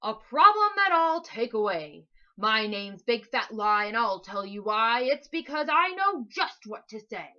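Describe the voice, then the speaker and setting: Woman voicing a loud, boastful giant monster. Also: a little high, sing-song, dull audio, full character voice. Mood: booming, boastful. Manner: loud, emphatic, drawn-out boasting.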